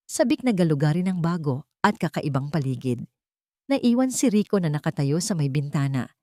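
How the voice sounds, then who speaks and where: Warm-voiced woman narrating a story. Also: lowish voice, no room sound, clean studio sound.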